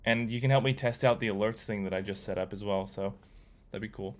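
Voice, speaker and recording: A man's voice: lowish voice, a little fast. Casual young man livestreaming his coding. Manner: relaxed, rambling, reads chat aloud with many fillers. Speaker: livestreamer learning to code.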